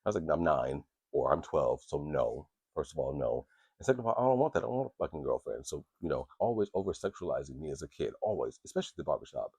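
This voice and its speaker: A man with an extremely low, deep voice that carries a husky, grainy edge. He talks frankly into a podcast microphone, rambling and restarting, and swears freely. The sound is close and dry, with no room around it. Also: big pitch swings, fast, a little mellow, no room sound. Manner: candid, profane and rambling, with many restarts. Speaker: podcast host. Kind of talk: casual podcast talk.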